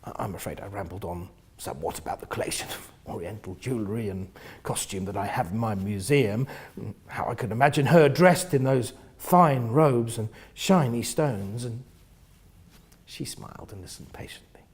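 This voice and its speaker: A man with a husky voice reading first-person literary fiction in an expressive, theatrical manner, often hushing the ends of phrases. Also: fairly low pitch, normal speed. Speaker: reader of a novel.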